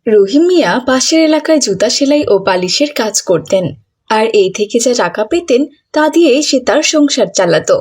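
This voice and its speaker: A woman's voice: fairly high pitch, animated, moderate pace, a little mellow, slightly rough. A woman narrating a scripted moral story as a storyteller.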